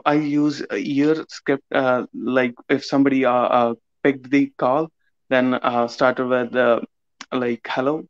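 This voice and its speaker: A man's voice: medium pitch, dry recording, dull audio. A man from India with an Indian accent, speaking hesitantly and apologetically on a video call, through a laptop microphone. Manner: hesitant, many uh fillers, apologetic. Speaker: coaching student. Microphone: laptop webcam mic on a video call.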